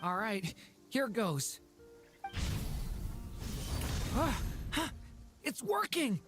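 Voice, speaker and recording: A woman voicing an eager, earnest and innocent young boy in a video game, with an expressive, wide-eyed manner. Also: on the high side, slow pace. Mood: excited. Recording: game music behind.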